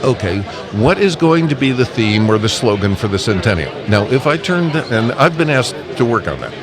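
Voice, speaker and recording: A man's voice: mid-range voice, big pitch swings, normal speed. A man speaking as a guest in a casual live interview, explaining his points emphatically and step by step. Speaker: amateur radio association representative, interview guest. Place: busy convention event. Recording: busy convention crowd noise behind. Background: crowd chatter.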